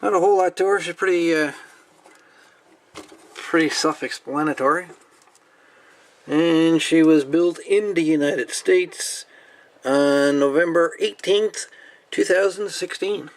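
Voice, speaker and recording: A man's voice: a little high, measured pace, long pauses. A man hosting a casual hands-on review and repair video, talking in a folksy, colloquial way. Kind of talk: casual hands-on demonstration talk. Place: indoor workbench.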